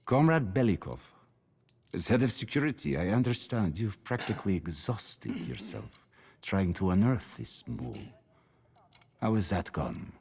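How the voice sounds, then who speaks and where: An actor playing a menacing, silky Soviet security chief in a video game, slow, deliberate and mock-courteous. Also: male voice, lowish voice, very animated, vocal fry, darkish tone, a little hiss or hum. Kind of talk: dramatic video game cutscene acting.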